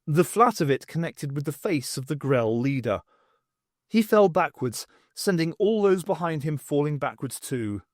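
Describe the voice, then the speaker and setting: A man reading a story aloud as an audiobook narrator, in an expressive scripted style. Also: on the high side, moderate pace, no room sound, studio quality.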